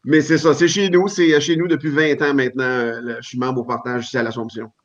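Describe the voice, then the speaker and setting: A man with a Québécois accent, a comedian guest chatting in a relaxed, self-deprecating way over a remote call. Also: mid-range voice, fast, a little hiss or hum. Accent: Québécois French. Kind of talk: casual chat. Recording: dull lo-fi call audio.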